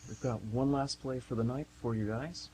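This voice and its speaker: A man's voice: mid-range voice, fairly even intonation, normal speed, background noise. A man reading scripted narration and announcements for a radio theatre broadcast.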